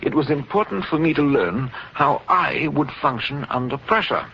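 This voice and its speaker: Cold megalomaniac doctor, clinical and pompous, lively intonation, 1940s radio drama, muffled recording. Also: male voice, on the high side, moderate pace, gravelly, a little hiss or hum. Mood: smug, clinical. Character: coldly calculating male doctor, megalomaniac villain experimenting with fear.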